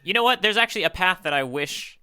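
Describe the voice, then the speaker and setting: A man co-hosting a podcast in casual chat, talking in an excitable, chatty way. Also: high-pitched, quick pace, clear, resonant tone. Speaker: podcast co-host.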